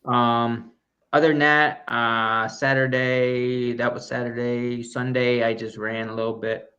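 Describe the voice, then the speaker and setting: A man's voice: mid-range voice, flat delivery, measured pace, bright, forceful tone, highs cut off. Joke-telling man co-hosting a running podcast. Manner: goofy, tells corny jokes with mock pride. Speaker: podcast co-host. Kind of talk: casual chat.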